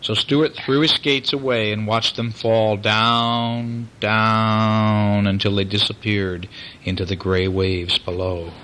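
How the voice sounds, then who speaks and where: A man reading a bedtime story aloud to a young child, expressive and explanatory, with chatty asides. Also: on the low side, takes it slowly, poor audio.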